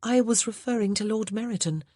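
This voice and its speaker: A woman's voice: fairly high pitch, fairly quick, dark tone, dry recording, clean studio sound, voice pushed up, close to an everyday voice. A woman voicing a refined young lady. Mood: polite. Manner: refined, formal period speech. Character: young genteel lady, newly married, poised.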